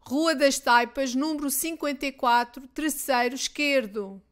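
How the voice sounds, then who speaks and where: Slow, high-voiced European Portuguese woman teacher. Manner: reads each line twice, clearly and deliberately. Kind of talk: slow repeated phrase drills for learners.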